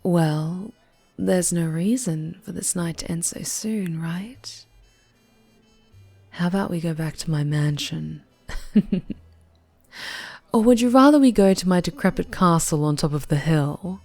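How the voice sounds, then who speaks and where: A woman plays an elegant, flirtatious vampire in an audio drama. Her voice sits on the low side, and she speaks with suave formality and a veiled menace. Soft music plays behind her. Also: measured pace, pauses often. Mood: flirtatious, teasing. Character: poised, flirtatious, wealthy female vampire on a date. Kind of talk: audio roleplay acting.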